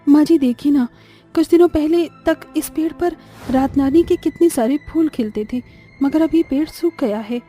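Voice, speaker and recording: A woman voicing an earnest, pleading poor young mother in an animated story. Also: high-pitched, normal speed, faint music behind, voice pushed up, lightly acted.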